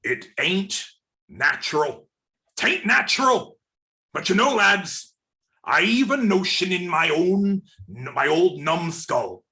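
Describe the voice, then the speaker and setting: A wily pirate seaman in a radio play, high for a man and swinging widely in pitch. He blusters in salty dialect at an unhurried pace, with a bright, forceful edge. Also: big pitch swings, full character voice. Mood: scheming, grim.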